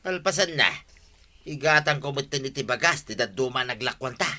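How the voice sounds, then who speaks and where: A man's voice: medium pitch, expressive, moderate pace, pushed and bright. A man acting a scheming, cheerful village vendor with exclamatory, wheedling delivery and an Ilocano accent in a comedy radio drama. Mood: plotting. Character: scheming, cheerful village man hawking dubious snails and greens.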